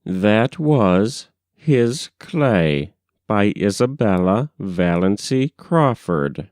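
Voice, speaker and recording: A man reading poetry aloud in a measured, solemn recitation. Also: on the low side, very animated, darkish tone, dry recording, clean studio sound. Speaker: poetry reader.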